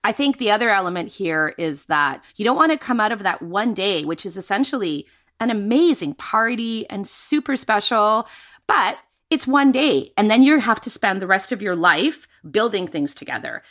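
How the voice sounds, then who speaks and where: A woman co-hosts a show in a bright, crisp voice. She keeps the talk upbeat and conversational, leading the discussion with easy energy. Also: medium pitch, sing-song, normal speed. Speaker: podcast co-host. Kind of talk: conversational co-hosting.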